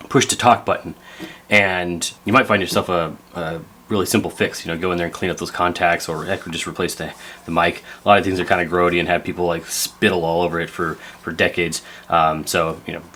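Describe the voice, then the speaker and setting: A man's voice: low voice, a bit bright, a little hiss or hum. A man talking casually through a hands-on repair video in a rambling, folksy, laid-back way. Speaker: host of a radio repair video.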